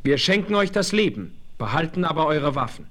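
A man's voice: on the high side, sing-song, brisk, fairly bright tone. A man playing a noble, commanding Apache chief who speaks formally and declaims in a German radio play. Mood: stern.

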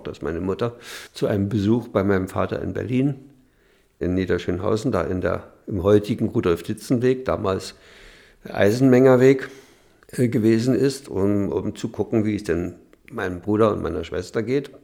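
Older man, creaky and halting. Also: on the low side, darkish tone. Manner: halting, searching for words. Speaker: eyewitness recalling childhood. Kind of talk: unscripted interview recollection.

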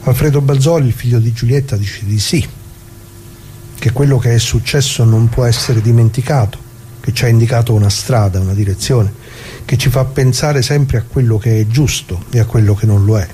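An actor playing a dead retired working-class man, bitter and plain-spoken, addressing listeners in a halting, repetitive theatrical radio monologue. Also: mid-range voice, warm tone, a little hiss or hum, lightly acted. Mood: reflective, doubtful. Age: older.

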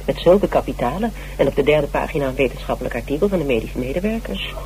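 A man's voice: a little high, lively intonation, quick pace, a little mellow, rough recording. An actor playing an eager, fawning male criminology lecturer who hesitates and repeats himself in a radio drama. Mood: excited, gossipy. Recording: hissy old radio recording.

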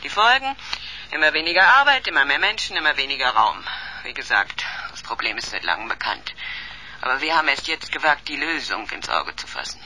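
A woman's voice: low-pitched, big pitch swings, a little fast, pushed and bright, a little hiss or hum, heavily acted, rough recording. An actress playing a cold, condescending female professor, the scheming villain of a radio sci-fi drama, speaking formally. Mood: coolly persuasive. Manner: formal, condescending, coolly clinical. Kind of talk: 1980s German radio sci-fi crime drama acting.

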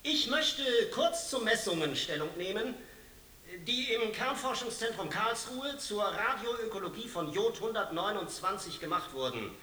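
Bright, high-pitched male scientist. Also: lively intonation, normal speed. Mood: formal lecturing. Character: formal nuclear scientist presenting measurements. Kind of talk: formal scientific statement in radio drama. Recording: roomy echo with audible hiss.

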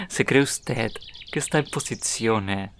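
An actor playing a callous, domineering Italian army officer with an Italian accent in an audio drama, commanding and contemptuous. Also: male voice, mid-range voice, very animated, slow pace, fairly bright tone, slight background noise, exaggerated voice. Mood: contemptuous, threatening.

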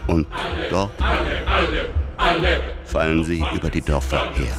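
An actor playing a grave chronicler of ancient war in a radio drama, narrating solemnly in short, broken phrases. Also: male voice, very deep voice, fairly even intonation, takes it slowly, over a noisy crowd. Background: loud music.

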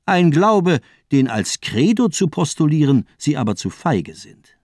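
A cold, fanatical villain of radio crime drama, acted by a man. He is a nihilist doctor who declaims in mocking, rhetorical speeches. His pitch moves in big sweeps, and the sound is dry. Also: a little mellow, dry recording, lightly acted. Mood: scornful.

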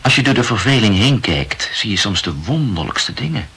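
An actor in a radio play playing a chatty, self-absorbed young amateur writer who rambles and corrects himself insistently. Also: male voice, lowish voice, sing-song, moderate pace, sharp tone, slightly rough, heavily acted. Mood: earnest, pedantic. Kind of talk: literary radio play dialogue.

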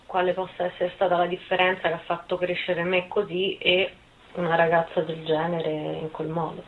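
Phone-like, hesitant low-voiced young woman. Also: monotone, moderate pace. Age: 20s. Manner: hesitant, thinking aloud. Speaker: interviewee. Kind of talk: informal recorded interview answers. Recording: thin phone-like sound.

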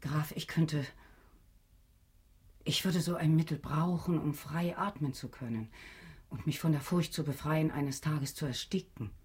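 Radio drama role: elegant, guarded madame, very husky and breathy, hesitant, secretly eager. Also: female voice, sits low, unhurried, darkish tone, heavily acted. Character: refined, guarded society lady with veiled eagerness. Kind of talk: German radio drama acting.

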